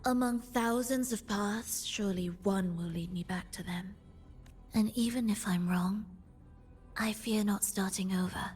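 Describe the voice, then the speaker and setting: An actress playing a proud, obsessive and haunted antagonist in a video game, speaking in a measured, haughty and sometimes breathy way. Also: female voice, medium pitch, a little mellow, soft background music. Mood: resolute, wistful. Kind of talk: dramatic video game voice acting.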